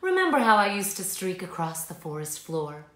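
Woman as a boastful old snake, animated and bright. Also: lowish voice, moderate pace, echoey room. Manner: bragging, wry. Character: boastful old green snakes, gossiping and knowing.

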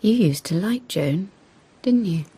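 An actress in a radio play as a good-humoured, frank English sister, talking briskly and teasingly. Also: female voice, lowish voice, animated, dark tone, slightly rough, full character voice. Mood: reflective. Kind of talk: radio drama acting.

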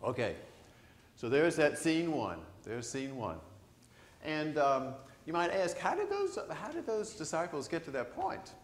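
An older man, a priest, preaching a conversational sermon to his congregation, animated and asking them questions. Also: a little high, unhurried, slight background noise. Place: large church sanctuary. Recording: echoey church sanctuary.

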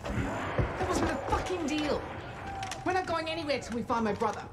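A woman's voice: high-pitched, animated, normal speed, very noisy. An actress playing a tough, vengeful young woman in a video game, blunt and fiercely protective. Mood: furious. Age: young adult. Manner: blunt, hard-edged. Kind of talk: video game cutscene acting.

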